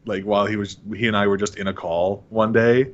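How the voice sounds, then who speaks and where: A young man with a fairly low voice, speaking as a guest in a casual interview. He rambles a little, pausing and hedging as he goes, and sounds amused by himself. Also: fairly even intonation, dull audio. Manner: hesitant, rambling, self-amused with frequent chuckles. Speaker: interview guest, online voice actor. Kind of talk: casual chat.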